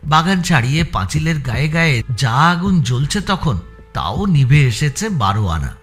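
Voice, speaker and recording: Animated male story narrator. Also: on the high side, moderate pace. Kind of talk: dramatic scripted story narration. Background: faint background music.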